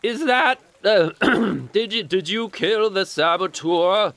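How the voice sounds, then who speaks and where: An actor playing a pompous, cruel usurping lord in a fantasy audio drama, stammering when flustered. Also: male voice, high voice, slow pace, fairly bright tone, no echo, heavily acted. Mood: nervous, flustered.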